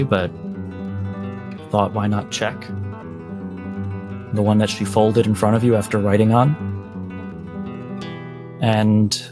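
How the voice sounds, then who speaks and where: A man narrating a tabletop roleplaying game as game master, measured and pausing between short phrases. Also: on the low side.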